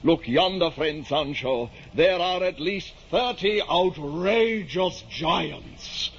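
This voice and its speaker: A man's voice: a little high, expressive, slow pace, breathy edge, full character voice. A man playing a deluded, grandiose middle-aged knight-errant in a radio drama, declaiming in lofty archaic speech. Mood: grandiose, excited. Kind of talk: 1950s radio drama acting. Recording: muffled, noisy old-time radio recording.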